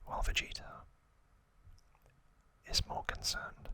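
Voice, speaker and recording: Slow whispering male ASMR host. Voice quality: whispered, breathy and unvoiced. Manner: soft, unhurried, short phrases with pauses. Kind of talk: whispered ASMR recap narration.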